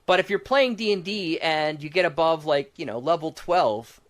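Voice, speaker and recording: A man's voice: a little high, normal speed. Rambling man thinking aloud. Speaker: podcast co-host. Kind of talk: casual tabletop game design chat.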